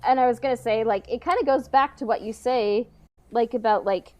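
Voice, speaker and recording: A woman's voice: on the high side, brisk, mellow. A woman hosting a podcast in casual, joking chat, sprinkling her sentences with "like" and "you know". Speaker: podcast host.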